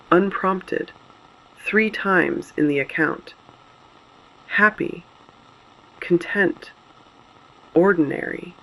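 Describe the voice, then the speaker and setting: Creaky-voiced, solemn resistance broadcaster played by a man. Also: a little high, a bit bright, exaggerated voice, poor audio. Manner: measured, solemn, deliberate phrasing. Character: grave adult male resistance broadcaster reporting on fallen cities. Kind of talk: scripted dystopian radio broadcast narration.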